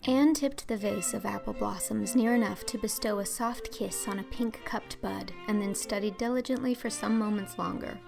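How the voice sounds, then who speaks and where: A woman's voice: medium pitch, moderate pace, gravelly, hardly any pauses, music in the background. A woman reading literary prose as an audiobook narrator.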